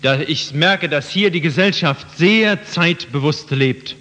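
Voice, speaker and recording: A man's voice: fairly high pitch, slow, pushed and bright, a little hiss or hum. A man interpreting a sermon phrase by phrase for the listeners. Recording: muffled, reverberant hall.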